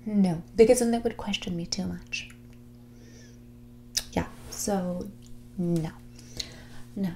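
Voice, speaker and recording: A woman playing a snobbish, manipulative heiress in her twenties in a whispered, soft-spoken ASMR roleplay, condescending and blunt with a drawl full of filler "like". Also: fairly low pitch, takes it slowly, pauses often, slight background noise. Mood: self-satisfied. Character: snobbish, manipulative young rich heiress on a date.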